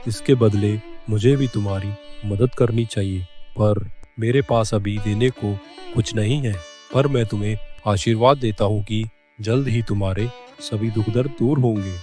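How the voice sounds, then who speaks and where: A man voicing a kindly, solemn old wandering holy man in an animated moral story. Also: fairly low pitch, moderate pace, faint music behind, lightly acted. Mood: benevolent.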